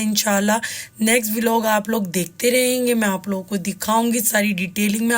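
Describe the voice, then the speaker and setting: A woman with a sore, hoarse throat, chattily narrating her own shopping vlog and addressing viewers directly with frequent tips. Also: medium pitch. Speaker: vlogger, mother. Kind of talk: casual shopping vlog voice-over.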